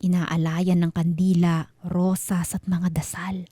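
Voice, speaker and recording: Dark-toned woman narrating radio horror. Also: lowish voice, level tone, slow pace, slightly rough, dry recording. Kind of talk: scripted dramatic horror storytelling.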